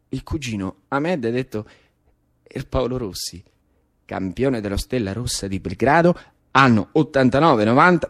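A man's voice: mid-range voice, a bit bright. A man with a Roman accent performing a dramatic storytelling monologue, building incantatory lists and repetitions. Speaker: actor-narrator.

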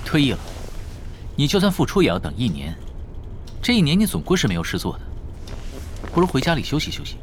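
A man's voice: fairly high pitch, huge pitch swings, fast talker, long pauses, a little hiss or hum. An actor playing a polite, earnest and persistent young man, a younger twin brother, in a radio drama. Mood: persuading. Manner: courteous, earnest.

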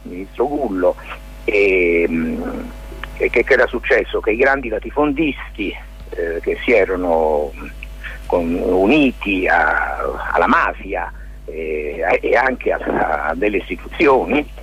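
A mature man, an interview guest, explains things informally and discursively, often hesitating, in a husky, rough voice. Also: low-pitched, lively intonation, slow pace, fairly bright tone, lo-fi sound. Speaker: lawyer and theatre maker, interview guest.

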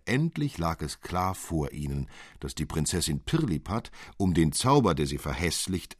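A man with a deep voice narrates a literary fairy tale. His delivery is scripted and expressive, with a theatrical storyteller's touch. The sound is close and dry, free of room echo. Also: breathy edge. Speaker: audiobook narrator.

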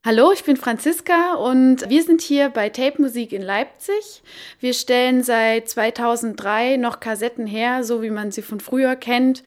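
A woman's voice: fairly high pitch, unhurried. A woman casually explaining manufacturing work on a tour of a small production room. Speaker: cassette production worker, interviewee. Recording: hissy background noise of production room. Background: hiss and room noise.

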